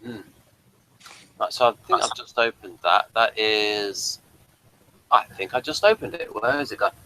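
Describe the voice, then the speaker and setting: Bright-voiced man chatting as review host. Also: on the low side, measured pace, rough recording. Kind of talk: casual review chat.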